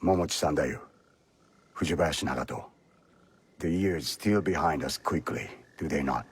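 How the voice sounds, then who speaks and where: An actor playing a stern, courtly middle-aged samurai retainer with a husky voice and formal, authoritative delivery in a game drama. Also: male voice, a bass voice, moderate pace, slight background noise. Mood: grave, courteous. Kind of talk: dramatic video game acting.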